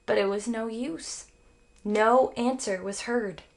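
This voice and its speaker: A woman's voice: a little high, takes it slowly, vocal fry. A woman teacher reading a children's story aloud expressively, as if to young students. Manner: expressive, explains phrases to young listeners.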